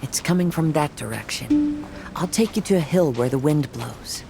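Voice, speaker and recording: An actor voicing a calm young wandering samurai in a game who speaks gently. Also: male voice, fairly high pitch, normal speed, vocal fry, faint music behind.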